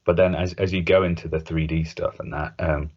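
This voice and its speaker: A man's voice: sits low, little pitch movement, fairly quick, a little mellow, husky. A man co-hosting a podcast in casual, hesitant, informal chat, often tagging questions onto his points. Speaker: podcast co-host. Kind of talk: casual chat about retro cartoon video games. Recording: dull, muffled lo-fi audio.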